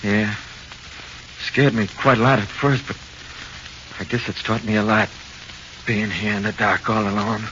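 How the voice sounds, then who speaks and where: Weary doomed American hoodlum, 1940s radio drama, slow slangy delivery, lowish voice, resigned. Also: male voice, little pitch movement, bright, forceful tone. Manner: slangy, resigned, plain-spoken. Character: weary condemned small-time crook, ghostly narrator of his own death. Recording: dull noisy 1940s radio recording.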